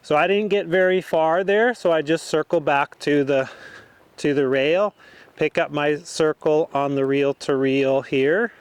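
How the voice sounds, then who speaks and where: A man's voice: fairly high pitch, measured pace, clean tone, no room sound. A man working as a horse riding instructor, informally explaining each step while he demonstrates from the saddle.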